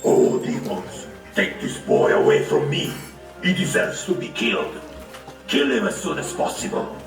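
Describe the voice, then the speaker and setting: A man playing an imperious demon king and father in a devotional puppet show, with a gravelly, declamatory delivery. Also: fairly high pitch, big pitch swings, a little slow, full character voice. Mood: wrathful. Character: tyrannical demon king, proud father turning furious. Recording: echoey, distant, music underneath. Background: music.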